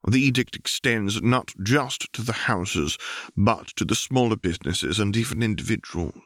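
A man voicing a brisk newspaper reporter in an audiobook, delivering breaking news in a crisp, formal, declarative way. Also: fairly low pitch, fairly bright tone, no room sound, studio quality, natural voice. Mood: urgent, formal.

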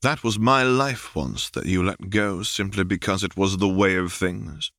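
A man reading the part of a world-weary, wry and resolute Jedi in his thirties for a storybook, in a measured, dry manner. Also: sits low, a bit bright, no echo. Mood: level, tart.

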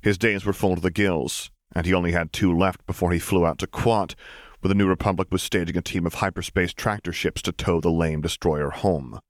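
A man's voice: low-pitched, fairly even intonation, fairly quick, dry recording, studio quality. A man reading a story aloud as scripted audiobook narration.

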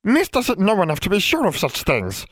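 A man voicing a wizened old female house elf servant, anxious and stern, high-pitched and speaking of herself in the third person. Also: huge pitch swings, unhurried, dry recording, studio quality, heavily acted. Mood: stern, pained.

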